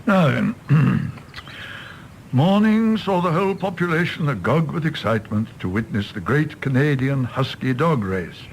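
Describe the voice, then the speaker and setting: An actor playing a gruff, weary doctor in a radio drama, gravelly and a little hesitant. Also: male voice, medium pitch, expressive, measured pace, a little hiss or hum. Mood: droll reading. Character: gruff, weary doctor minding an old patient.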